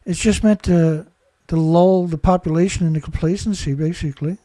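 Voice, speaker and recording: A man's voice: on the high side, fairly even intonation, brisk, mellow, dry recording. Stuffy-nosed man ranting over news. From Canada. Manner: rambling, indignant, rhetorical questions. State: stuffed-up nose. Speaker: host. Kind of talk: solo commentary on news.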